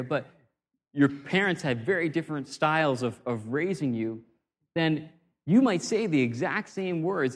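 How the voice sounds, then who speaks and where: A man giving an informal talk on communication, conversational and self-deprecating, often restarting his phrases. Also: on the high side. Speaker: speaker on communication. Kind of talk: informal talk or sermon-like lecture.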